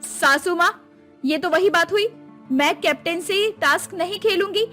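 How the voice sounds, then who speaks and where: A woman's voice: extremely high pitch, unhurried, bright, forceful tone, soft background music, full character voice. A woman voicing a bossy, theatrical young daughter-in-law who announces rules like a reality-show host in a dramatic family story. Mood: commanding. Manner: theatrical, commanding, sprinkles English words.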